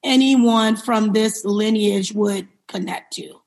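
A woman's voice: medium pitch, takes it slowly, creaky, darkish tone, a little hiss or hum. A woman who grew up in New York City, answering in a conversational interview as a company founder, reflective and explanatory. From born in Liberia, grew up in New York City.

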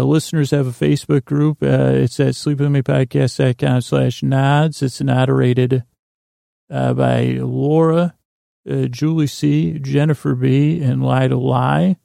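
Male bedtime podcast host: very creaky, flat delivery, meandering and halting, lulling monologue. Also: medium pitch, no room sound, studio quality. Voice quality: gravelly, creaky. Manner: meandering, halting, self-interrupting. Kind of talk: rambling bedtime monologue to lull listeners to sleep.

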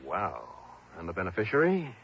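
A man's voice: low-pitched, wildly swooping pitch, normal speed. An actor playing a sceptical male private investigator with a dry, sardonic manner in a 1960s radio drama. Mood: dry, probing. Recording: dull, faintly noisy old radio recording.

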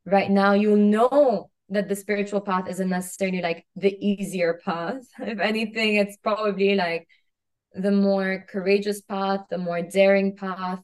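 A woman from Kuwait speaks as a podcast guest, musing openly and leaning on fillers like "like" and "you know." Her phrases often trail off softly at the ends. Her pitch sits in a middle range. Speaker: guest, spiritual coach. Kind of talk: casual interview chat.

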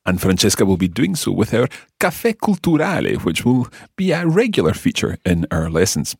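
A low-voiced man presents a language podcast with bouncy, animated pitch. His voice has a slight husk, and it is recorded close and dry. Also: moderate pace, vocal fry, husky, no echo. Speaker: language teacher and podcast host. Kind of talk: podcast lesson intro.

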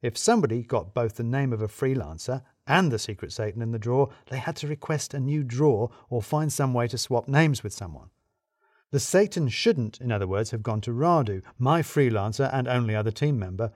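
A man reading a scripted comic mystery in the first person, with wry, theatrical emphasis and deliberate pauses. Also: medium pitch, lively intonation, a little mellow, dry recording, clean studio sound. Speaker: audiobook reader narrating in first person as an office worker.

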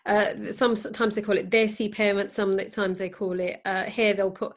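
Female host, British accent, mid-pitched voice, conversational and casual interviewing style. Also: level tone, moderate pace. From United Kingdom. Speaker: podcast host.

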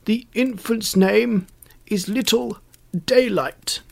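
Woman playing a loud, courteous archbishop. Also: a little high, slow, vocal fry, voice pushed up, full character voice. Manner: loud, stately, carefully spelled out. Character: courteous male archbishop, shouting to a deaf old woman. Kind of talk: storybook character reading.

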